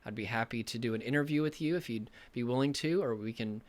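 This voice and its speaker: Hoarse man, young adult, recovery coach, earnest, rambling, mid-pitched. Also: fast talker. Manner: earnest, rambling, many fillers and restarts. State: losing his voice, a bit sick. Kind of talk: casual direct-to-camera talk.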